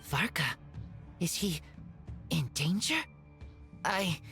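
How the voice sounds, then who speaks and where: A woman voicing an earnest, wolf-raised boy in a fantasy game, speaking in simple, halting phrases. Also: fairly low pitch, lively intonation, fairly bright tone, soft background music, exaggerated voice. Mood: worried.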